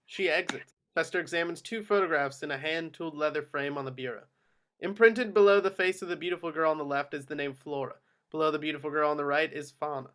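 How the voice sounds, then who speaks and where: Fairly high-pitched man reading stage directions. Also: fairly bright tone. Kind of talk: scripted narration at a table read.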